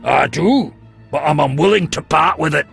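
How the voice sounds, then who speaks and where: Very creaky, raspy stubborn dwarf. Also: male voice, fairly high pitch, moderate pace, fairly bright tone, soft background music, heavily acted. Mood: grudging. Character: stubborn male dwarf shopkeeper, grudging. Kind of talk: video game voice acting.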